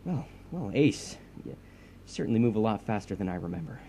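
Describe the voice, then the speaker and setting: A man's voice: lowish voice, big pitch swings, a little fast, mellow. A man playing a theatrical, distrustful supervillain in an audio drama, sardonic and threatening. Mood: menacing. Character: theatrical, distrustful, menacing supervillain, quick to violence. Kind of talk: audio drama villain acting. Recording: clear background noise and ambience.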